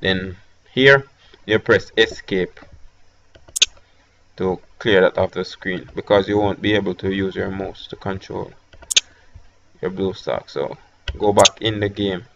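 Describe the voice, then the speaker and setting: A man with a Jamaican accent talking through a software tutorial step by step, with hesitant fillers between instructions. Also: sits low, fairly even intonation, a little slow, vocal fry, pauses often, highs cut off, a little hiss or hum. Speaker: tech tutorial host. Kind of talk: screen-recorded software tutorial.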